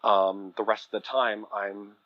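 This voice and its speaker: A Canadian man chatting as a podcast guest, telling stories in an animated, conversational way and quoting people as he goes. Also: on the low side, unhurried, dry recording, dull audio. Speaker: podcast guest, audio drama producer. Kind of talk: conversational interview storytelling.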